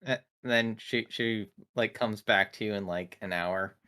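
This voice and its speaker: Guy at a tabletop game, lowish voice with a bright forceful edge, just chatting. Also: steady tone, a little slow, clear, resonant tone. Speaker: tabletop roleplaying game player. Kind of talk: casual game-table chat.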